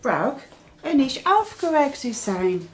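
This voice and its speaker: A woman's voice: sits high, sing-song, takes it slowly, a little hiss or hum, exaggerated voice. A middle-aged woman playing a busy, fussing mother who chides and dotes on her kids in a home kitchen. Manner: chiding, fussing. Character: busy, nagging, doting mother. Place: family kitchen.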